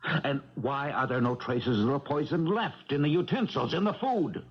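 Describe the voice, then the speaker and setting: An actor playing a dry, skeptical, methodical police inspector in a 1970s radio mystery. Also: male voice, on the high side, moderate pace, close to an everyday voice. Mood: puzzled probing. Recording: dull vintage radio broadcast.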